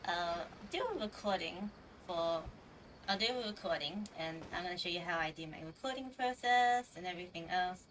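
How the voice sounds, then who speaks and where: A woman's voice: lowish voice, normal speed, fairly bright tone, slight background noise. A woman game streamer chatting casually on a livestream in a hesitant, rambling way. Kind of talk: casual livestream chat over gameplay. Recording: distant microphone under game music. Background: game music.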